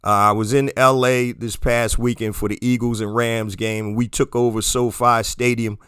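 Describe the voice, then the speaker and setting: Hoarse guy doing his podcast solo, pumping up listeners, super dry no-room sound, clear voice. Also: medium pitch, flat delivery, moderate pace, a bit bright, no echo. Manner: promotional, motivational, addresses listeners as guys. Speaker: podcast host.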